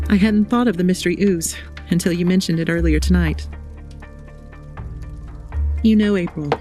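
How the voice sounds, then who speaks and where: A woman's voice: fairly low pitch, warm tone, close to an everyday voice. A woman playing a middle-aged mother and former reporter, frank and matter-of-fact, in a comic audio drama. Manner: matter-of-fact, conversational storytelling.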